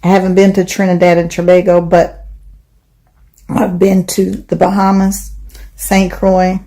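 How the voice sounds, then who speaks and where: A woman's voice: on the low side, monotone, measured pace, dark tone. A woman giving blunt, teasing opinions in a casual social media video. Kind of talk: casual commentary.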